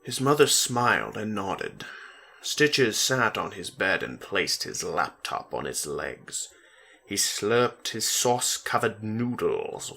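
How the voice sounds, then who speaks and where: A man's voice: fairly low pitch, creaky, pushed and bright, breathy edge. A man narrating a story as a dramatic reading.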